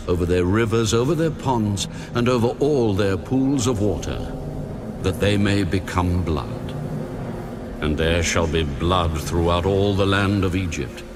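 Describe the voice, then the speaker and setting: An actor playing God as a solemn, commanding male deity in a dramatised audio Bible, speaking deliberately. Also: low-pitched. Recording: music under the voice. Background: background music.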